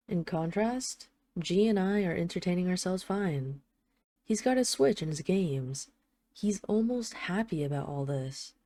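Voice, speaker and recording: A woman's voice: lowish voice, no echo, lightly acted. A woman playing an affectionate, wry mafia boss who misses her partner, speaking in an intimate, conversational roleplay monologue like a voicemail. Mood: wry, bored. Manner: conversational, affectionate, gently self-deprecating, wry. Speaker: audio roleplay voice actor.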